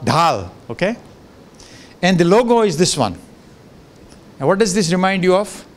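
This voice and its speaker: An older man whose first language is Gujarati gives a relaxed, joking guest lecture into a handheld microphone in a large lecture room, with many fillers and restarts. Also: sits high, moderate pace. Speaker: professor giving a guest lecture. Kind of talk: informal lecture with asides.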